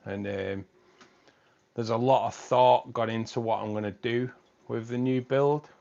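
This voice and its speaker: A man's voice: medium pitch, steady tone, a little slow, creaky, a little mellow, dull audio. A man hosting a live stream from a room with fish tanks, chatting casually and rambling, often repeating himself.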